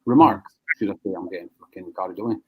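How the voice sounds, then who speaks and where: A man's voice: low voice, fast talker, husky, phone-quality sound. A man hosting a live stream, ranting bluntly and swearing at rival businesses. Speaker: live show host, business owner.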